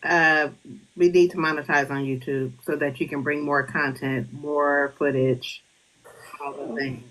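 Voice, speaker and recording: A woman's voice: low voice, little pitch movement, unhurried, a bit bright, low-quality recording. A woman book club guest in casual chat, dryly prompting the host with reminders.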